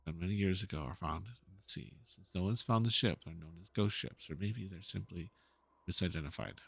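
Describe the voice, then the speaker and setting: Man acting world-weary game figure, lowered pitch, grave, hushed, creaky, dry recording. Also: a little fast, no room sound, full character voice. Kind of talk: dramatic reading of game dialogue.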